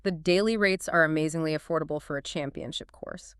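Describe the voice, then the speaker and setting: Female podcast co-host, smooth and articulate, close dry sound. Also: low-pitched, fairly quick, fairly bright tone, no room sound. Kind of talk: scripted-sounding conversational podcast explainer.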